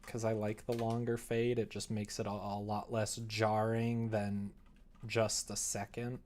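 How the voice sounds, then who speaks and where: A man's voice: fairly low pitch, little pitch movement, a little slow, darkish tone, slight background noise. Young man casually thinking aloud on stream. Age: young adult. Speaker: livestreamer developing a visual novel. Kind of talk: casual livestream chat while working.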